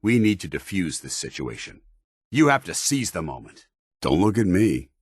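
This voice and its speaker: An actor playing a stern, honor-bound soldier in a video game, speaking in a formal, commanding and earnest military way. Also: male voice, deep voice, sing-song, moderate pace, husky, dry recording, clean studio sound, heavily acted. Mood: firm, steadying. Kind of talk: video game companion dialogue.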